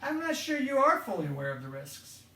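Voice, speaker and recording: Casting: a calm, quietly menacing man posing as a state trooper, naturalistic, recorded off-mic in a roomy space. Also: extremely high pitch, a little fast, distant mic, full character voice. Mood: guarded, warning. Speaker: actor in an audio drama. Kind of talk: naturalistic radio drama dialogue. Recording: roomy, echoing, off-mic sound.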